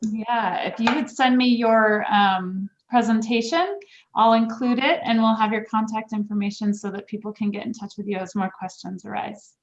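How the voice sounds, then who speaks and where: A woman's voice: mid-range voice, fairly even intonation, moderate pace, lo-fi sound. A woman hosting a club meeting, thanking speakers and moving through the agenda in a friendly way. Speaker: meeting host.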